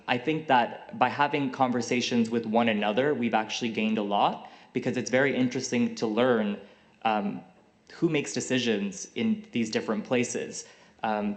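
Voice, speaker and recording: A man on a conference panel who also takes questions, answering in a measured, articulate way with a few fillers. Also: medium pitch. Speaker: youth group panelist and moderator.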